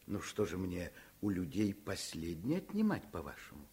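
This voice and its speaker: An actor playing a kindly, gently ironic provincial doctor and father in a Soviet radio drama. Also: low-pitched, huge pitch swings, normal speed. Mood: mild, modest. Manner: good-natured, gently ironic.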